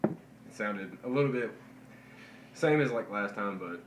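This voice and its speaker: A man's voice: lowish voice, animated, a little slow. A man co-hosting a casual wrestling podcast, a little congested, chatty with stumbling restarts. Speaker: podcast co-host. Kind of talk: casual chat about wrestling. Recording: echoey room, faint noise.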